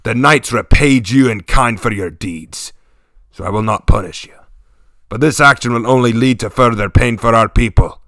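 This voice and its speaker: A man voicing a stern, gruff warlord chieftain speaking to his daughters, grave and commanding. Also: mid-range voice, fairly bright tone, no room sound, studio quality, close to an everyday voice. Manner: commanding, grave, slow and weighty.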